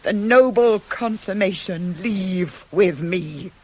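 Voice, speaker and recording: A woman's voice: mid-range voice, slow, darkish tone. A woman playing a proud, anguished queen bent on death in a radio tragedy, declaiming and falling into intense whispers. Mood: cold fury. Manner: heightened, declamatory, often dropping to intense whispers. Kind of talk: 1980s radio drama, Greek tragedy. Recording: hissy radio play taped on cassette.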